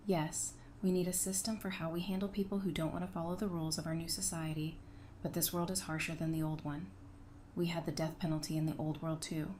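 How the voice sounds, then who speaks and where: A woman playing a candid, caring, level-headed survivor in an audio drama, speaking in a measured, frank way. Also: sits low, little pitch movement, mellow, natural voice. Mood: frank, serious. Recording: faint background noise and ambience.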